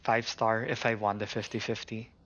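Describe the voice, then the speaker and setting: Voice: medium pitch, level tone, normal speed, over a noisy crowd. A game streamer gives informal live commentary, musing aloud in a mid-range voice. The sound is a little lo-fi and dull, like a basic stream microphone. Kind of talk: casual live gameplay commentary.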